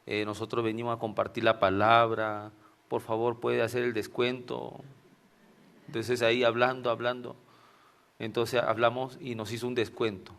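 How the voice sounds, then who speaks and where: A man's voice: mid-range voice, creaky. A man from Venezuela with a Venezuelan Spanish accent, preaching an informal sermon and telling stories, often ending phrases with tag questions. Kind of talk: informal sermon with personal anecdotes.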